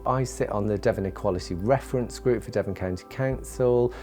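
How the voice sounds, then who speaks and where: A man's voice: on the low side, a little fast, a little mellow. A man who works at a support charity, talking about the work in an explanatory, interview-like way. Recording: music playing behind.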